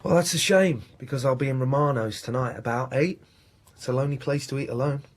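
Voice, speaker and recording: Actor as an earnest, husky male research scientist. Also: medium pitch, a little fast, lightly acted. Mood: apologetic, flirtatious. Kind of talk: naturalistic radio drama acting.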